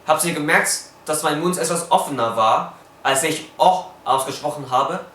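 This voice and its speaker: A man's voice: fairly high pitch, normal speed, bright, forceful tone, echoey room. A young man whose first language is Cantonese, speaking with a Cantonese accent as he explains phonetics in a casual vlog and carefully demonstrates sounds.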